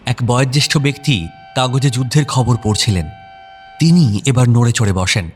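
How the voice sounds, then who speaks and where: A man's voice: mid-range voice, moderate pace, soft background music. Man narrating a story in long reverb. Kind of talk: dramatic scripted narration.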